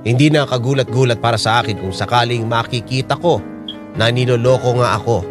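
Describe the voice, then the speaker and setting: Brooding male narrator over piano. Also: mid-range voice. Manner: brooding, measured. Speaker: narrator as the father. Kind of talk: first-person drama narration.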